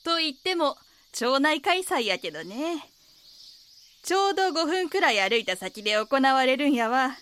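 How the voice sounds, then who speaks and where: A woman's voice: high-pitched, sing-song, measured pace, a bit bright, a little hiss or hum, exaggerated voice. A woman playing a chatty, helpful small-town local with a Kansai accent in a neighbourly, folksy audio drama role. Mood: friendly. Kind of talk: Japanese audio drama acting.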